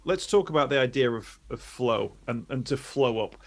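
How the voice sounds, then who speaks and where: A man's voice: mid-range voice, lively intonation, moderate pace. A man hosting an interview, asking long questions that ramble with many restarts. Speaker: podcast host. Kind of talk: interview talk.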